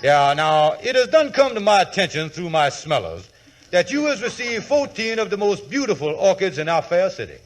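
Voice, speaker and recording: An actor playing a sly, smooth-talking schemer in 1940s radio comedy, with grandiose, folksy, ungrammatical speech. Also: male voice, high voice, big pitch swings, normal speed, slight background noise. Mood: smooth, persuasive. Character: Kingfish, sly scheming smooth-talking con man posing as professor. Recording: vintage 1940s radio broadcast.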